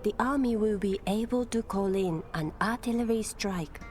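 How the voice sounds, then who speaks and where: A woman voicing a game's tutorial advisor in calm, measured, scripted narration. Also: medium pitch, natural voice. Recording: game audio with music.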